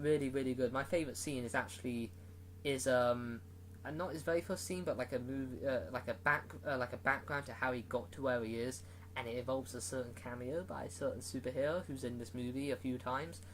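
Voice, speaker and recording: A man's voice: mid-range voice, a little fast, low-quality recording. Man, casual movie review, rambling, lots of ums and likes, faint background noise. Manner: rambling, filler-heavy, restarts often.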